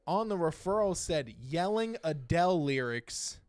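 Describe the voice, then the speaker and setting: Higher-voiced man telling stories casually. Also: measured pace, dry recording. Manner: animated, slangy, swears freely. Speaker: podcast guest. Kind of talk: casual comic storytelling chat.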